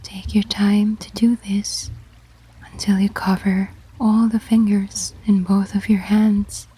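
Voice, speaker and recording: A woman leads a sleep meditation in a very breathy, whispered voice. Her delivery is slow and even, with little rise or fall in pitch. She gives each gentle instruction in a measured way, in a soft ASMR style. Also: rough recording. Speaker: guided meditation narrator.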